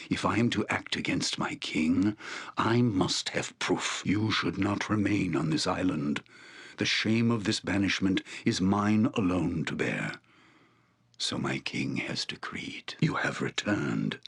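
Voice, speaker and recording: Weary exiled shaman for a fantasy game, super deep n husky, grave and slow-talking. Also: a bit bright, full character voice. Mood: grave, suspicious. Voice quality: gravelly, husky, breathy at phrase ends. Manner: grave, deliberate, formal archaic phrasing. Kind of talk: dramatic fantasy game voice acting.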